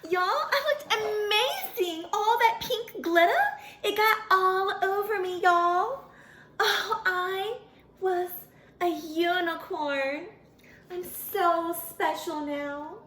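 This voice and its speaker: A woman acts out a vain, starry-eyed storybook pony. Her voice is very high and bright, and she lingers over her words. She gushes dramatically and folksily, as if confiding in children. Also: slow, heavily acted. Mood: excited, gushing. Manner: gushing, dramatic, folksy, addresses listeners as y'all. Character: excitable, vain, dreamy female pony who longs to be a unicorn. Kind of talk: animated first-person storytelling for children.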